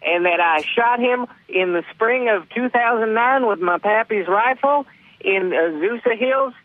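A man calling into a comedy show, rambling with halting restarts and lots of filler. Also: high voice, no room sound. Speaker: caller. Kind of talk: comedic call-in. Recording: dry tinny phone line.

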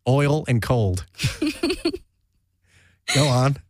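Host, young guy from jersey, mid pitch, chatty and joking, dry close sound. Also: wildly swooping pitch, no room sound. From New Jersey. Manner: chatty, self-mocking, quick laughs. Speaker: podcast host. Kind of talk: casual chat.

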